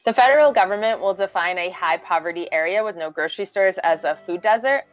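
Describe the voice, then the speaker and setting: A woman's voice: lowish voice, lo-fi sound. A woman speaking as a farmer and activist, explaining her work in a documentary interview style. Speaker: farmer and food justice activist. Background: background music.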